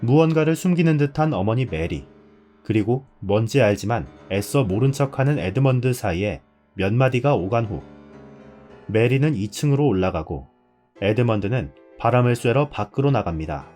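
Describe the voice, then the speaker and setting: A man's voice: medium pitch, expressive, normal speed, mellow, soft background music. A man hosting and reading a scripted narration. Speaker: host.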